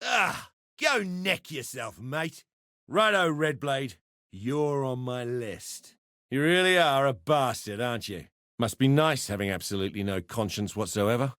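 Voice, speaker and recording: A man's voice: medium pitch, animated, a little slow, fairly bright tone, no echo, clean studio sound. A man voicing a roguish arena fighter and pitmaster in a video game, with cheeky, slangy, theatrical tough-guy talk. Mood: bitter, defiant. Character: roguish adult male arena fighter and pitmaster turned syndicate member. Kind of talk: video game character voice lines.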